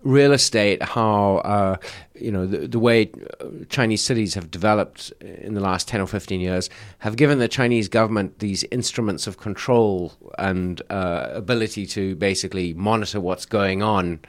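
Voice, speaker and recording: A man co-hosting a podcast, explaining things casually in a halting, thoughtful way. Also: lowish voice. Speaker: podcast co-host. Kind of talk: casual panel chat.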